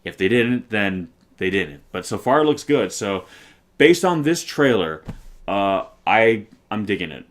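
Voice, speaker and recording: Low-voiced man ranting about movies. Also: very animated, measured pace. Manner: earnest, long-winded, asks rhetorical questions. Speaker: co-host of a movie trailer reaction channel. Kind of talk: casual opinionated movie talk.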